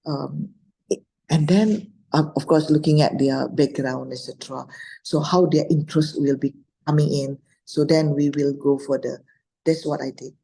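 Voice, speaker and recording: A woman's voice: deep voice, steady tone, moderate pace, vocal fry, mellow, husky, rough recording. A middle-aged woman with an Indonesian accent telling personal stories as a guest speaker, hesitating and rephrasing as she goes. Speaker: guest speaker, Islamic scholar. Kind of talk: personal storytelling in an online talk.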